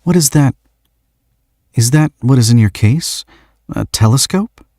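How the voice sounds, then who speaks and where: A man voicing a calm, alluring female demon who speaks softly and formally. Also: mid-range voice, dry recording, studio quality, pitched above the performer's own voice. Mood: curious. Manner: soft, measured, formal speech without contractions.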